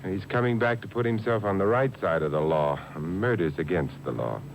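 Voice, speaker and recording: An actor playing a suave, unflappable amateur sleuth with a dry, urbane manner in a 1950s radio mystery. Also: male voice, deep voice, moderate pace, gravelly. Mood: calm, watchful. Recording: noisy old radio broadcast taped on cassette.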